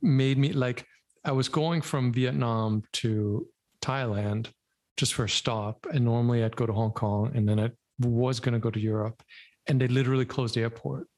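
A man's voice: medium pitch, fairly even intonation, moderate pace, darkish tone. A man hosting a casual podcast chat, speaking with an accent between American and German in a laid-back, wry, rambling way. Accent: mixed American and German. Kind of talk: casual conversation.

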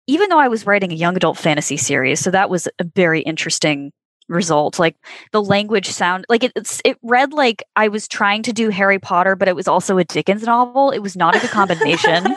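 A woman's voice: mid-range voice, lively intonation, brisk, fairly bright tone, no room sound. A woman in her twenties talks through her memories on a podcast in a thoughtful, reflective way. Her voice is close and dry, with no room sound around it. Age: 20s. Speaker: podcast guest, former child actor. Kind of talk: casual podcast conversation.

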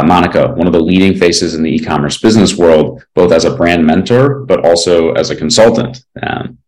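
A young man in his twenties hosts a podcast with a very low, deep voice. He talks in a relaxed and hesitant way and often restarts his questions. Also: rough recording. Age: 20s. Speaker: podcast host. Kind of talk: podcast interview.

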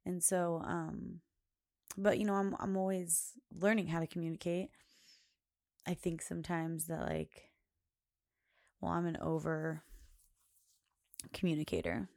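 A woman hosting her own podcast, chatting casually in a loud, carrying voice, rambling with many fillers and frequent laughs. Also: on the low side, flat delivery, measured pace, vocal fry, pauses often, no room sound, studio quality. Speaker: podcast host. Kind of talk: casual chat.

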